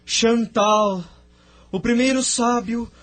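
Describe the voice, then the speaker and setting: Solemn male seer for audio drama, played by an actor: lighter high register, slow stretched phrasing, grave and reverent. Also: fairly even intonation, a bit bright, full character voice. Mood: solemn, regretful. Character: male visionary seer recounting his world's past, solemn and sorrowful.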